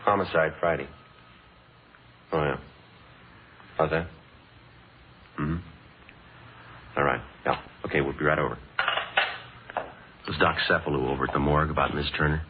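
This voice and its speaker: An actor playing a dry, businesslike detective sergeant in a vintage radio police drama, clipped and deadpan. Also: male voice, rumbling low, moderate pace, long pauses, slight background noise. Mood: matter-of-fact. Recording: muffled old-time radio recording with music.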